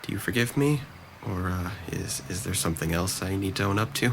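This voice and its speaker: A man's voice: low-pitched, brisk, slight background noise. A man playing a depressed, self-critical young nephew in an audio drama. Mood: remorseful, downcast.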